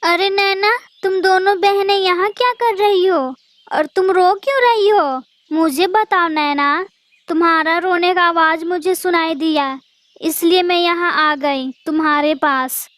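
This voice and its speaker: Woman acting cartoon pigeon neighbour, female, caring, earnest, high-pitched, dry sound. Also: a little slow, a bit bright, dry recording. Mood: concerned.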